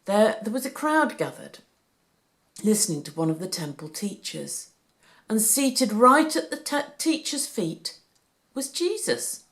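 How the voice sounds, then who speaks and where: A woman reading a Bible story aloud for children, with a deliberate, animated storytelling delivery. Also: medium pitch.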